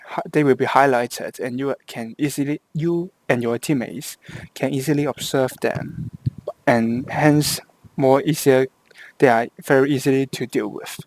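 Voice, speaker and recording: A man's voice: mid-range voice, no room sound, rough recording. Hong kong english guy explaining a game, keeps restarting mid-sentence, dry close sound. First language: Cantonese. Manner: halting, with frequent restarts and self-corrections. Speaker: gameplay guide creator. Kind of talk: game tutorial voice-over.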